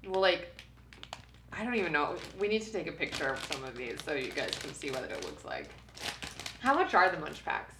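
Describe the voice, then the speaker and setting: This woman talks in a low register with a gravelly edge of vocal fry. She chats casually with quick, giggly asides. Her voice carries a roomy echo and faint background noise. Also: sing-song. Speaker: podcast co-host. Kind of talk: casual snack-tasting chat.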